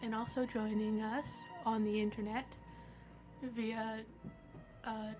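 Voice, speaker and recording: A woman's voice: a little high, level tone, slow pace, darkish tone, faint music behind. A woman hosting a game stream, chatting casually and introducing the players. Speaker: show host introducing players.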